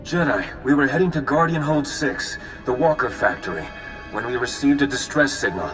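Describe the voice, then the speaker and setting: An actor playing a worried, businesslike military ally in a video game, brisk and concerned. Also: male voice, medium pitch, music in the background, natural voice, poor audio. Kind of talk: video game cutscene acting.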